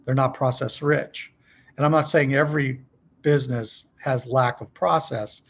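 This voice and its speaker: A man's voice: medium pitch, fairly even intonation, measured pace, gravelly, lo-fi sound. A middle-aged man speaking as a podcast guest, explaining business practice conversationally in a folksy way, often posing questions and checking in with the listener. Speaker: podcast guest, company executive.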